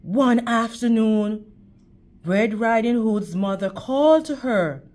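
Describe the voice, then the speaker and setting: A woman's voice: fairly high pitch, takes it slowly, darkish tone. A woman reading a children's story aloud in a teacherly way, addressing young listeners directly. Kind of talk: animated storytime narration with questions to children.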